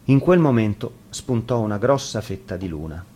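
Low-voiced man reading a fairy tale. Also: expressive, moderate pace, mellow, a little hiss or hum. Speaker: storyteller.

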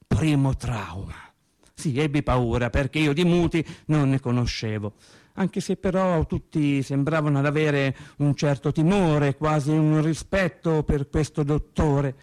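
A man acting a theatrical radio monologue as an agitated, rambling working man who recalls his childhood in halting, repetitive colloquial speech. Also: on the high side, darkish tone, close to an everyday voice. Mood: uneasy, recounting. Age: adult over thirty. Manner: halting, repetitive, colloquial storytelling with stammered restarts.

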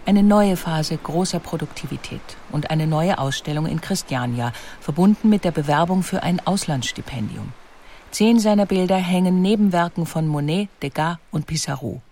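A woman narrating a scripted biographical documentary in a measured, articulate manner. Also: fairly low pitch, dark tone.

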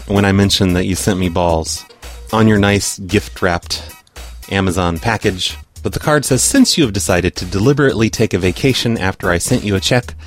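A man hosting a prank call show, chatting casually in an offhand, sarcastic way. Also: on the low side. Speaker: podcast host. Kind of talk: casual chat.

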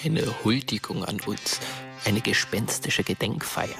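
A man's voice: fairly low pitch, unhurried, gravelly. An actor playing a dead teenage boy who watches and narrates as a ghost in a radio play. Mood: eerie. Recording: studio radio play under loud music.

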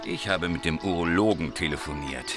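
An actor playing a coarse, defensive, irritable mobster in a radio crime drama. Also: male voice, deep voice, brisk, over music, lightly acted. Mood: irritated. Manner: gruff, cursing, defensive. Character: coarse, irritable male Sicilian mobster with guilty secret.

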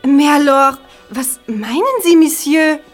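French hairdresser lady, high voice, french accent, flustered n formal, drags words out, radio play w faint music. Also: measured pace. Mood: indignant, insistent. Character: flustered French hairdresser defending her work to a customer. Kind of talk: German audio drama acting.

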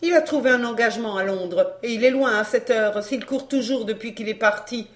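A woman's voice: on the high side, brisk, close to an everyday voice. A woman reading a story, voicing a brazen fairground woman who is scornful, cheeky and slangy. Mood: mocking. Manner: taunting, slangy, derisive.

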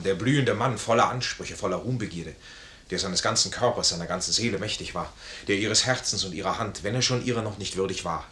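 Proud broken officer, lowish forceful voice, anguished speeches, roomy old radio recording. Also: male voice. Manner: formal, anguished, declamatory. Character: proud, wounded, discharged army officer, honourable and tormented. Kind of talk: 1950s German radio play acting.

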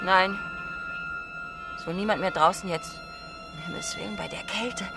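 A woman's voice: fairly low pitch, steady tone, moderate pace, creaky, over loud music. An actress playing an eccentric, kind-hearted horse-dealer woman in a German radio drama, exclaiming and fussing in alarm. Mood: puzzled, alarmed.

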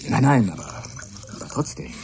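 Hesitant young man with animated pitch. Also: normal speed, gravelly, a little hiss or hum, exaggerated voice. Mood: awkward. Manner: hesitant, stammering. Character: shy, lovesick younger male research assistant, insecure and earnest. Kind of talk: German radio drama acting.

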